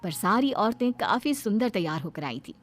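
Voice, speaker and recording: A woman's voice: mid-range voice, very animated, normal speed. An Indian woman narrating a scripted bedtime story as a storyteller. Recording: background music under the voice.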